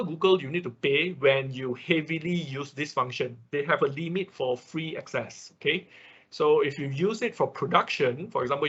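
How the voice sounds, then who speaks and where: Man, non-native English speaker, online tech instructor, forceful husky voice, explanatory, repeats himself, dull lo-fi audio. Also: medium pitch. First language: not English. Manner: explanatory, often checking in with listeners, repeating himself. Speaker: instructor of an online robotics class. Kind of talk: informal online technical lesson.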